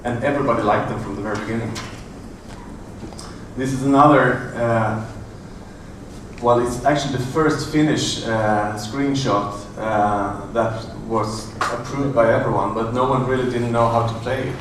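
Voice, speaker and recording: A middle-aged man giving a conference talk in a large hotel room, halting with many fillers and restarts. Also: mid-range voice, fairly even intonation. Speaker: conference presenter. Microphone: room audio from a far camera. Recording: echoey, distant room recording with noise.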